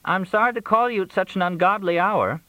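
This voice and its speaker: A man's voice: high voice, fairly quick, pushed and bright, dry recording, voice pushed up, poor audio. A man voicing a plaintive, needy, hungry woman in audiobook dialogue.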